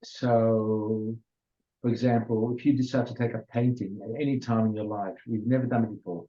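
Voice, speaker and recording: An older man whose first language is Hungarian, giving an informal biology lecture in an unhurried way, with restarts and fillers. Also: lowish voice, steady tone, mellow. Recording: dull lo-fi recording.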